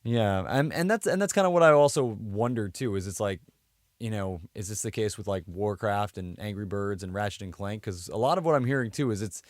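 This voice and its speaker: Lowish-voiced man chatting casually on a podcast. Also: a little fast, no echo, studio quality. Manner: hedging, thinking aloud. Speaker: podcast co-host. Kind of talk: casual chat.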